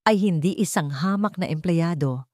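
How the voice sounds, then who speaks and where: A woman voicing a calm, authoritative wronged heiress with a cold manner in an audio story. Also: fairly low pitch, moderate pace, dry recording, clean studio sound, close to an everyday voice. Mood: cold, accusing.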